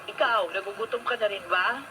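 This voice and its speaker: A woman's voice: medium pitch, fairly quick, over music, lightly acted. An actress with a Filipino accent playing a caring, candid and earnest female teacher in a radio drama. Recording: very noisy radio broadcast.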